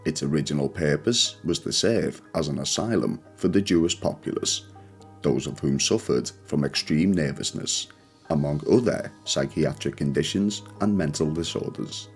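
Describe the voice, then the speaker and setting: A man hosting a channel and reading scripted ghost stories in a measured, solemn way. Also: extremely low pitch, soft background music. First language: not Polish; speaks English. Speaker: channel host and narrator. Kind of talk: scripted ghost story narration.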